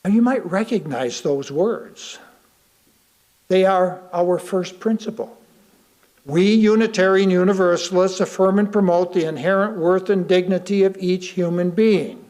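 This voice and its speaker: An American man with a high voice delivers a written sermon. His pace is slow and deliberate, and he leaves pauses to let key phrases land. He puts rhetorical questions to his listeners as he reads. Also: a little mellow. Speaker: lay reader delivering a sermon. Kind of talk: sermon read aloud to a congregation.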